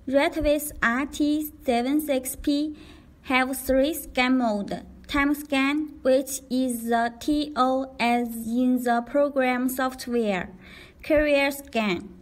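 A woman reading a scripted product tutorial in a careful, deliberate way as a demonstrator. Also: high voice, steady tone.

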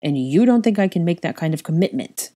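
Woman playing brooding young father, low and husky. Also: expressive, brisk, warm tone. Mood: angry. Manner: growling, terse, confrontational. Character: brooding, hot-tempered young father, proud and demanding. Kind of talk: acted audiobook dialogue.

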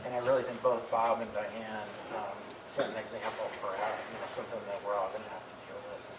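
Middle-aged man, affectionate remembrance speech, halting delivery, distant mic, clear background noise. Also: on the low side, little pitch movement, echoey room. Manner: halting, with pauses and repeated words. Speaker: family speaker giving a eulogy. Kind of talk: eulogy, affectionate personal remembrance to an audience. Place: medium-sized indoor hall with audience.

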